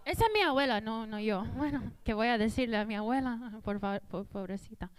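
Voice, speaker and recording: Halting bilingual woman comic, clear voice. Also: a little high. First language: English. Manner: hesitant, halting Spanish with fillers. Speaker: stand-up comedy host. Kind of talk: stand-up comedy. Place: small theater stage.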